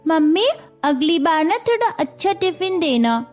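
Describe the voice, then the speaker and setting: A woman's voice: extremely high pitch, big pitch swings, measured pace, soft background music, exaggerated voice. A woman voicing a pleading, complaining, stubborn young schoolchild in a cartoon story. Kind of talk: Hindi cartoon story dialogue.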